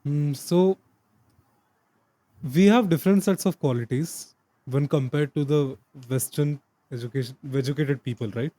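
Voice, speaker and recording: A man hosts the interview and asks questions in a hesitant way, filling pauses often. His voice is mellow and a little high for a man. It is recorded dry and close to the mic. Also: dry recording, rough recording. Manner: hesitant, many fillers. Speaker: podcast host.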